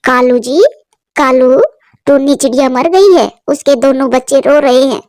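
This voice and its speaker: A woman's voice: high voice, unhurried, dry recording, clean studio sound. Woman voicing a soothing motherly cartoon crow. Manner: soothing and gentle. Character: kind motherly female crow neighbor.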